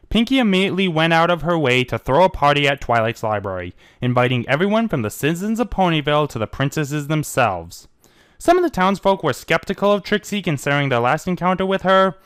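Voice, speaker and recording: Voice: medium pitch, a bit bright, clear, resonant tone, no room sound, studio quality. An amateur narrator giving a dramatic storybook reading of fan fiction.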